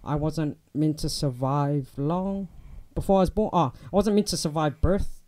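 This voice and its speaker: A young man with a New Zealand accent talks through his life in a relaxed, chatty way, often pausing on fillers. His voice sits a little high for a man and sounds soft and mellow rather than bright. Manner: relaxed, chatty, many ums and restarts. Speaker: guest. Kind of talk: casual interview chat.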